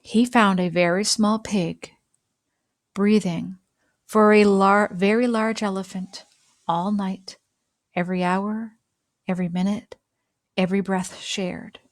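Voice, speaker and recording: A woman reading a children's picture book aloud with expressive, dramatic phrasing and deliberate pauses. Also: mid-range voice, no room sound, studio quality. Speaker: storybook reader.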